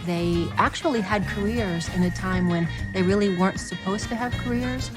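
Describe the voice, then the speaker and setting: A woman's voice: medium pitch, normal speed. A woman speaking in a documentary sound bite. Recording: music bed under film clip. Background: music.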